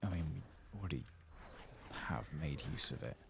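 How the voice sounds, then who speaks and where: A man giving soft-spoken, partly whispered ASMR commentary on a game, murmuring and musing to himself close to the microphone. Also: very deep voice, expressive, moderate pace, vocal fry, noisy background. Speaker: gaming channel host.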